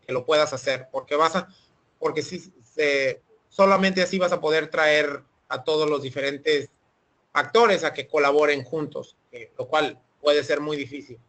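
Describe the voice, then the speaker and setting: High-voiced man explaining, many restarts. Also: fairly even intonation, moderate pace, a bit bright. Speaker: webinar presenter. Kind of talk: online seminar Q&A.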